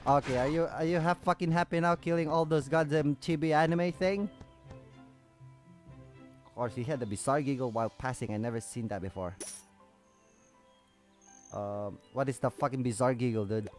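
A man's voice: mid-range voice, normal speed, long pauses. A man hosting a gaming video with casual, off-the-cuff commentary, swearing in an exasperated, sarcastic way. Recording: music behind the voice.

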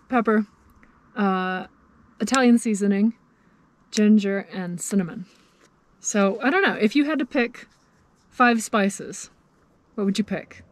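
Chatty woman cooking in her van. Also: fairly high pitch. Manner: chatty and cheerful, thinking aloud while cooking. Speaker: van camping vlogger. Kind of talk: casual cooking vlog. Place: inside a parked minivan camper. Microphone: camera mounted in the van.

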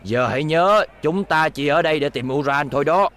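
A man's voice: a little high, brisk, fairly bright tone, lightly acted. A man voicing a stern, indignant old schoolteacher in a cartoon dub, scolding and blustery. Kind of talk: cartoon dubbing.

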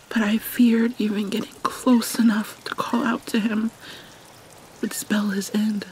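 Slow, whispery, sorrowful vampire mermaid played by a woman. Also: medium pitch, lightly acted, rough recording. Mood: grieving, guilty. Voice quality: soft, breathy, often slipping into whisper. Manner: halting, mournful, dramatic pauses. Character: vampire mermaid, sorrowful young-looking princess, centuries old, haunted. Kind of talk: soft-spoken ASMR roleplay monologue. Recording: steady rain and water noise behind. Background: rain ambience.

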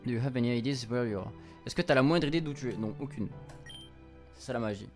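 Male, young adult, mid-pitched game streamer; informal, slangy commentary with English words mixed in, light music underneath. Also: quick pace, darkish tone. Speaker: streamer playing a visual novel. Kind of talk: casual gameplay commentary with on-the-fly translation.

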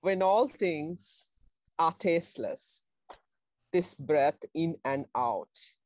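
A middle-aged person reading short verses in an unhurried way through an earphone mic on a video call. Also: mid-range voice, no room sound. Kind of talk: unhurried poetry recital. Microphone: wired earphones on a video call.

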